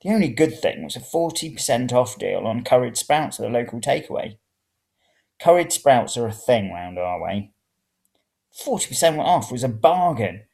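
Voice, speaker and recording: Man reading a story, creaky voice. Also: mid-range voice, very animated, moderate pace, a little mellow. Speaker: reader of serial fiction. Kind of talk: first-person story reading.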